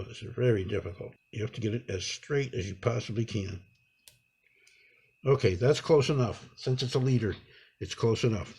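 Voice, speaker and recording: A man giving an improvised hands-on tutorial, talking unhurriedly and haltingly through each step as he works. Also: mid-range voice, dark tone, husky. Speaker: instructor demonstrating a repair.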